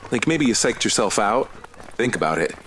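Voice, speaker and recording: A man's voice: lowish voice, big pitch swings, normal speed, a bit bright, slight background noise, poor audio. A man playing a friendly, earnest running buddy obsessed with cryptids in an audio drama, easygoing and playful. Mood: concerned, earnest. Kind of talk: conversational audio drama acting.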